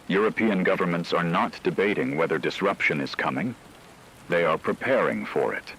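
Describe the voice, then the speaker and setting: A man playing a solemn radio anchor, reading a scripted emergency broadcast in a measured, ominous manner. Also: deep voice, a bit bright, slightly rough, full character voice, low-quality recording. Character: grave male radio anchor reporting a looming war.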